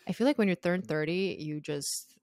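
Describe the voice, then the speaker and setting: A woman's voice: fairly low pitch, moderate pace, darkish tone, no room sound, clean studio sound. A woman in her thirties, from Croatia, speaking openly and reflectively as a podcast guest. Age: 30s. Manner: open, reflective, laughs easily. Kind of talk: casual interview chat.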